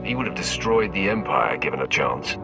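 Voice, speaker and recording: An actor playing an imperious, scornful young Sith warrior in a video game, with a commanding, disdainful delivery. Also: male voice, low-pitched, animated, a little fast, over music, low-quality recording.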